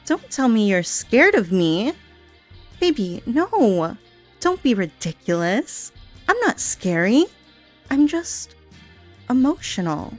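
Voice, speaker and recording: A clingy, dangerously unstable young woman coos in a breathy, sing-song voice that sits a little high, as a woman acts her out. Her sweetness keeps sliding into menace, with faint music playing behind her. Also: sing-song, slow, long pauses, exaggerated voice. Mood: petulant. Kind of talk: audio roleplay monologue.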